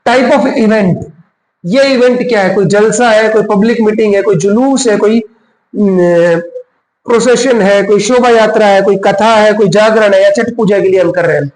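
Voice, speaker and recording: A man leads a casual step-by-step tutorial. His voice sits quite high for a man. He explains each step and slips into English terms, and the room adds a clear echo around him. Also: moderate pace, a little mellow. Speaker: tutorial host. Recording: roomy, echoing voice recording.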